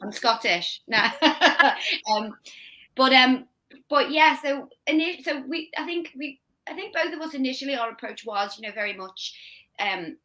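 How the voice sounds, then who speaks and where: A woman's voice: high voice, expressive, sharp tone, low-quality recording. A young woman with a Scouse accent, an actress guest chatting about her role on a video call. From Liverpool. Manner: chatty, quick restarts, laughing asides. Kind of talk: casual interview chat. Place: home room, video call.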